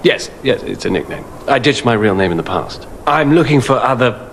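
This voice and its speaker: An actor playing a vain, theatrical braggart of a treasure hunter in a video game, pompous in manner. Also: male voice, medium pitch, expressive, brisk, fairly bright tone, slight background noise. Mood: boastful, indignant.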